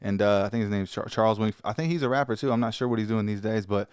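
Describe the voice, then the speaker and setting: A man's voice: on the low side, fast talker, darkish tone, smooth, dry recording, studio quality. A man in his thirties hosting a podcast, asking long, rambling, eager questions. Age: 30s. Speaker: podcast host. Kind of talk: casual interview chat.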